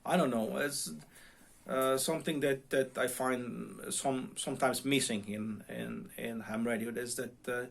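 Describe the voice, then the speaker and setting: Halting non-native English-speaking man, chatting casually. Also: medium pitch, steady tone, creaky. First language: non-English, self-taught English speaker. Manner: halting, searching for words, repeats word starts. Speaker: amateur radio vlogger. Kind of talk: casual unscripted talk to viewers. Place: home radio room.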